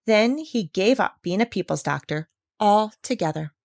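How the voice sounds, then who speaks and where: Female storyteller for a children's read-aloud: expressive, mid-pitched, lively intonation, dry recording. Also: moderate pace, creaky, no echo.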